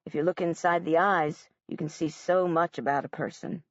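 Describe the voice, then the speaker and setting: A woman voicing a gentle, sorrowful middle-aged male art teacher who speaks softly and slowly. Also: low voice, steady tone, dry recording, lo-fi sound, voice pushed down, natural voice. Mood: gentle sad. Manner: soft, slow, sorrowful.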